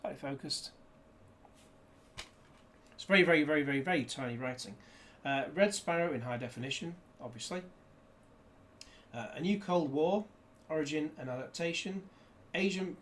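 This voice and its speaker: Male reviewer, loose and jokey, a touch higher-pitched, faint background hiss. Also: normal speed. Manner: rambling, jokey asides. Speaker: disc reviewer. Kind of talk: casual unboxing chat.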